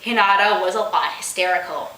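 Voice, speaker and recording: A woman's voice: mid-range voice, a little fast, sharp tone, echoey room, low-quality recording. A woman hosting a comic read-aloud of amateur fan fiction, giggly, mock-dramatic and incredulous in her delivery.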